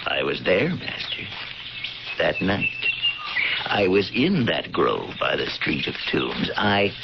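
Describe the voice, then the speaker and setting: An actor playing a sly tavern keeper and blackmailer of ancient Pompeii in a radio drama, with a hesitant, insinuating, mock-deferential manner. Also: male voice, low-pitched, very animated, slow pace, gravelly, exaggerated voice. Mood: insinuating, accusing. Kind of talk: theatrical radio drama acting. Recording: noisy, muffled old radio broadcast.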